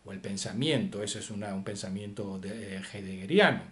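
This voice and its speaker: A man giving an unscripted philosophy lecture, pausing often with fillers and asking rhetorical questions. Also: fairly low pitch, a bit bright.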